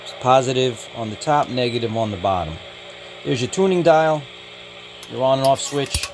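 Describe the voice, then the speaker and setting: A man's voice: mid-range voice, expressive, normal speed, noisy background. A man giving a casual hands-on product review in a matter-of-fact way, listing details in short clipped phrases. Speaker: radio hobbyist reviewer. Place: indoors.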